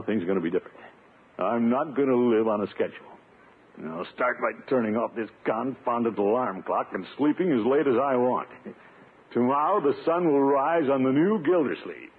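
A man's voice: medium pitch, expressive, normal speed, breathy edge. A man playing a pompous, blustering middle-aged uncle in 1950s radio comedy style, indignant and self-important. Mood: determined grumbling. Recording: tinny, noisy 1950s radio recording.

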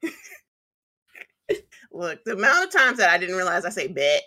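A talkative woman co-hosting a podcast, with a slight rasp that comes and goes and a pitch that climbs when she gets excited. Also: measured pace. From partly the US Midwest and Atlanta. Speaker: podcast co-host and streamer. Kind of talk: casual podcast chat.

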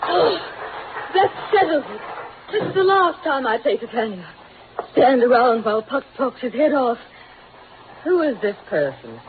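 A woman's voice: high-pitched, sing-song, unhurried, long pauses, slight background noise. A woman playing an imperious stage actress, haughty and dramatic, in 1940s radio style. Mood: bored, disdainful. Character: imperious, theatrical stage diva. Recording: tinny, narrow old radio recording.

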